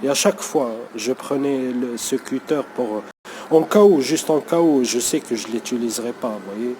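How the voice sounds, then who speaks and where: A man's voice: medium pitch, animated, moderate pace, dark tone, slight background noise. A man talking off the cuff to his viewers in a language that is not his first, hesitant and full of fillers, often checking in with "you see". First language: not French. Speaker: vlogger. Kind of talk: unscripted talk to camera.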